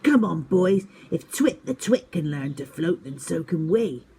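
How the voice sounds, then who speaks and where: A woman's voice: fairly low pitch, big pitch swings, measured pace, mellow, slight background noise. A woman voicing vain, cross male bully owls in a storybook, petulant and indignant.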